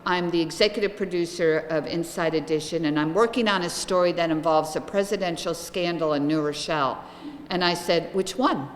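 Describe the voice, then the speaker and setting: Booming, lively woman historian lecturing. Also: fairly quick, a little hiss or hum. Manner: lively, chatty, projecting to a room. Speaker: guest lecturer, local historian. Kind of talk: public lecture with slides. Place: lecture room with audience. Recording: echoey room, some reverb.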